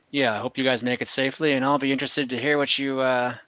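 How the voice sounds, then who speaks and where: A man voicing a cautious, serious estate man in a tabletop game, speaking in a measured, hesitant way. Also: mid-range voice, fairly even intonation, fairly bright tone, no echo, close to an everyday voice. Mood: mildly concerned. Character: cautious, grave estate man warning monster hunters. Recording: dull video call audio.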